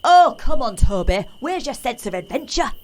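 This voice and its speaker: Lively, frank British small-town woman. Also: fairly high pitch, normal speed. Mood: coaxing. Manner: frank, teasing, colloquial. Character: frank, nosy, sociable small-town woman, adventurous and teasing. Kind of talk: naturalistic audio drama acting.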